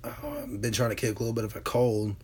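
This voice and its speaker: A man from Alabama hosts a relaxed livestream, talking straight to his viewers. His voice is hoarse from a cold and sits at an ordinary middle pitch. He rambles easily, full of fillers and pauses. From Alabama, USA. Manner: relaxed, drawn-out with many fillers. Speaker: livestream host. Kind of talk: casual chat reading viewer comments.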